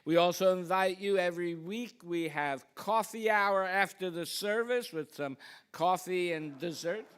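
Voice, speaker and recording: A woman's voice: on the low side, unhurried, smooth. A woman speaking as a minister to her congregation, with informal, self-deprecating asides between announcements and readings. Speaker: congregation minister leading the service. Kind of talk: church service announcements and scripture reading. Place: church sanctuary, speaking to a congregation.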